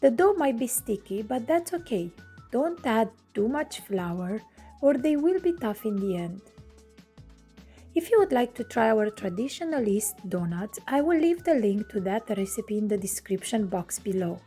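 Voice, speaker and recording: A woman from Romania, hosting her cooking channel and narrating a recipe in clear step-by-step instructions from her home kitchen. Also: a little high, sing-song, moderate pace, warm tone, soft background music.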